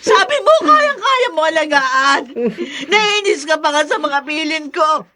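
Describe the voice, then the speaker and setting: A woman's voice: sits high, animated, measured pace, a crowd in the background. A woman playing a worried, long-suffering young wife and mother in a melodramatic radio drama. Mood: wailing, accusing. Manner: earnest, melodramatic. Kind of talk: Tagalog radio drama acting.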